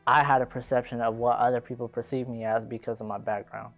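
Earnest young man with a gravelly voice. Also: medium pitch, level tone, fast, faint music behind. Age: 20s. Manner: earnest, reflective. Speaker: interviewee.

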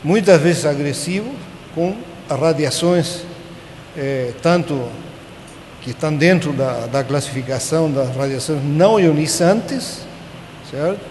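Man, 60s, Chilean Spanish accent, slightly high voice, halting informal lecturer in a reverberant auditorium. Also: darkish tone. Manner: halting, checks in with tag questions. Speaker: conference speaker. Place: large conference auditorium. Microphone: podium gooseneck microphone. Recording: echoey auditorium with clear background noise.

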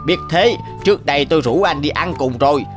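A man's voice: on the high side, brisk, natural voice. A man voicing a greedy, cunning male tanuki in a cartoon, who turns whiny when caught. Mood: scheming. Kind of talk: cartoon voice acting. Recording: faint music behind.

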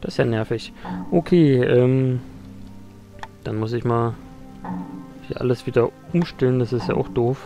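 Gravelly casual gaming host. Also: male voice, medium pitch, unhurried, long pauses. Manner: relaxed, mildly annoyed chatter. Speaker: let's play host. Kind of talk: casual gameplay commentary. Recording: voice over loud game music.

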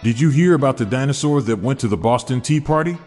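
A man hosts a podcast, reading dad jokes one after another in an upbeat, chuckling delivery. His voice sits in a middle pitch and carries a long reverberant tail, as if in a hall. Faint music plays underneath. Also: animated, fast talker, a little mellow. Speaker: podcast host.

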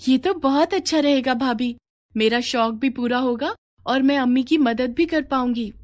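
A woman's voice: high voice, moderate pace, voice pushed up, close to an everyday voice. A woman voicing an eager, tender-hearted young girl who paints henna, in a cartoon story. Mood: eager, modest.

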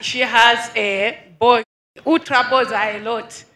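A woman's voice: fairly high pitch, fairly even intonation, takes it slowly, bright, forceful tone, echoey room. A woman, a congregant, who earnestly affirms what the prophet says about her sister.

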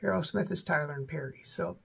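A man in his forties with a cold and a slightly sore, raspy throat, casually talking through rock albums as host of his own channel, with many ums and self-corrections. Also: on the high side, fairly quick, fairly bright tone. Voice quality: raspy, voice may crack. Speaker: rock music video host. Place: small indoor room with a backdrop.

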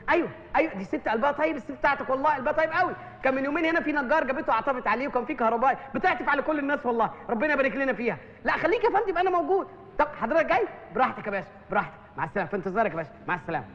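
A meddling Egyptian doorman with a high, quick, wheedling voice that can flip into loud mockery or cold threats. He has an Egyptian Arabic accent. The film audio is slightly dull, with a faint hiss. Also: expressive. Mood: sly. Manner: sly, teasing, then menacing and shouting. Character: nosy fast-talking male doorman turned gruff cop. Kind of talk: comedy film acting.

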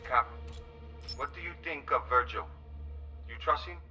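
A man's voice: mid-range voice, measured pace, bright, forceful tone, soft background music. Harsh, sardonic crewman over radio. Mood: suspicious. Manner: sarcastic, needling. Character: gruff, sardonic spaceship crewman, irritable and crude. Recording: muffled distant radio channel.

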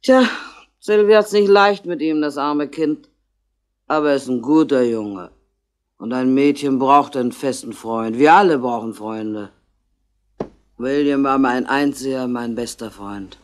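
Languid, boozy ageing barmaid. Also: female voice, very deep voice, unhurried. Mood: maudlin, sentimental. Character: boozy, sentimental ageing barmaid, lonely and chatty. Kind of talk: 1960s German radio crime drama acting.

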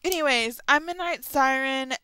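A woman's voice: high voice, normal speed, pushed and bright, no echo, low-quality recording. A young adult woman talking informally and a little tentatively, as in a casual solo audio log. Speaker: vlogger and host of an audio log. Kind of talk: casual rambling solo chat about horror stories.

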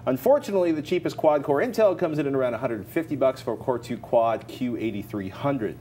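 A man's voice: mid-range voice, animated, a little fast, warm tone, a little hiss or hum. A middle-aged American man co-hosting a tech show at a studio desk, presenting and giving advice casually.

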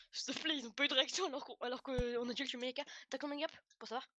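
Quick-talking woman gamer. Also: sits high. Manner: lively, teasing, urgent calls. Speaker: teammate in a game. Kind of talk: casual gaming chat over voice chat.

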